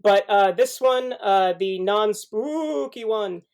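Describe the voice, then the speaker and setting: A man on a podcast panel giving film recommendations in casual, halting conversation. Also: high-pitched, a little mellow, clear, resonant tone.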